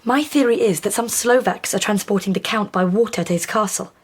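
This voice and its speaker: An actress playing a brave, intelligent young Victorian wife, speaking precisely and earnestly in a period audio drama. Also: female voice, medium pitch, brisk, slightly rough, no echo, lightly acted. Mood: resolute.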